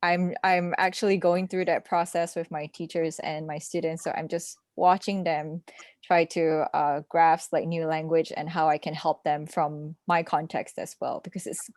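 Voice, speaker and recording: A woman's voice: low voice, little pitch movement, normal speed, darkish tone, dry recording, clean studio sound. Chatty young woman on a video call. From Malaysia. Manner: chatty, many fillers and little laughs. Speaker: assistant language teacher, session participant. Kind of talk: casual online conference discussion.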